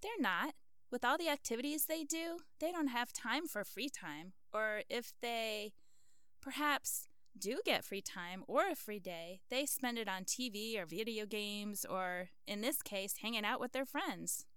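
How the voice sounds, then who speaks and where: A woman's voice: fairly high pitch, unhurried, a bit bright, smooth, no echo, clean studio sound. A woman playing a frank older wife in an audio drama, speaking in an earnest, lecturing way. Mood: firm.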